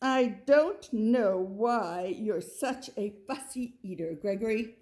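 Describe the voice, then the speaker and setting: A woman's voice: mid-range voice, slow pace, a little mellow, lightly acted. A woman voicing a stern, disapproving father goat from a children's picture book, emphatic and grumbling. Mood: exasperated.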